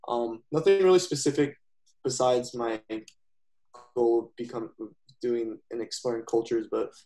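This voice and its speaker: A man's voice: medium pitch, steady tone, measured pace, mellow, low-quality recording. A young man speaking casually and hesitantly as a panelist on a video call. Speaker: panelist, filmmaker.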